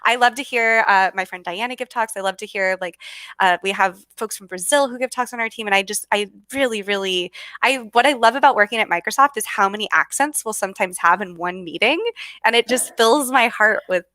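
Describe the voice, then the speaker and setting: A woman's voice: mid-range voice, fairly quick, pushed and bright, no room sound. A bubbly woman with a Californian American accent, chatting casually as a guest and often restarting her sentences. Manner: bubbly, many fillers and restarts. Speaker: guest speaker. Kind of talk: casual video call chat.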